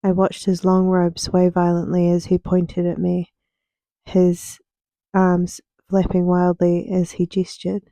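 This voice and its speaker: A young woman reading her own fiction aloud as soft ASMR narration, unhurried, with deliberate pauses. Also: lowish voice, monotone, no echo.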